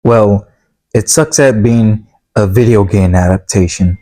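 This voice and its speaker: A man's voice: on the low side, unhurried, dark tone, no room sound. A young man casually reviewing a video game movie, rambling and blunt with lots of fillers, his voice sounding tired and worn out.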